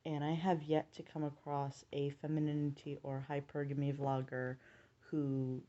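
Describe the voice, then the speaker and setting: A woman in her late twenties with a low-pitched voice, talking earnestly through her views in a casual vlog, measured and pausing to think. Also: little pitch movement, mellow, lo-fi sound. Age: late 20s. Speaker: vlogger.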